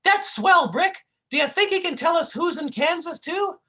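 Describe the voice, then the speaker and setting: A dopey, clumsy, chubby cartoonish sidekick in a squeaky high voice. He whines and babbles folksy slang, and his tone jumps all over the place. The sound is bright. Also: animated, moderate pace, highs cut off, full character voice. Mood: goofy, whiny. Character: dim clumsy chubby male comic sidekick.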